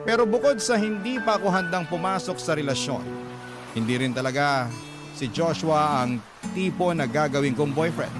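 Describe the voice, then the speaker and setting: A man reading a listener's letter dramatically as a radio storyteller. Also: a little high. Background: loud music.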